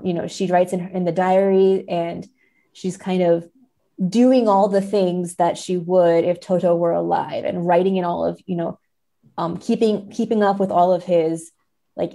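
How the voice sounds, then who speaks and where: A woman's voice: on the low side, creaky, mellow. A woman, a podcast guest, talking analytically in an explanatory way and often checking in with "right?". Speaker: podcast guest, literary scholar.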